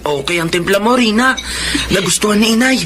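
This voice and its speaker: Protective Filipino son, noisy recording. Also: male voice, sits high, animated, brisk. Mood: warm, attentive. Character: loyal, protective, hot-headed adult son. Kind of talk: Tagalog radio drama acting. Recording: clear background noise.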